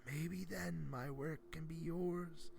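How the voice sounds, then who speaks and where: Horror story role: frail, beckoning old man, acted by a male narrator, high gravelly voice, slow and tired. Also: fairly even intonation, a little hiss or hum, full character voice. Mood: weary, coaxing. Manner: slow, soft, weary delivery.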